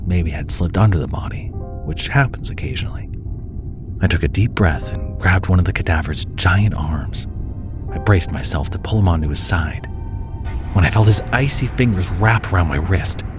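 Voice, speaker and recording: A man with a very low voice narrates a horror tale in the first person. His delivery is theatrical and scripted, moving between hushed and heightened tension. Music plays clearly beneath him. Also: animated, normal speed. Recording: music bed under the voice. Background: background music.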